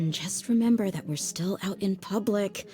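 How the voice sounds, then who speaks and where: A woman's voice: medium pitch, a little slow, dark tone, lightly acted. An actress voicing a confident, protective female mercenary bodyguard in a video game, wry and laid-back with a teasing edge. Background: game soundtrack music.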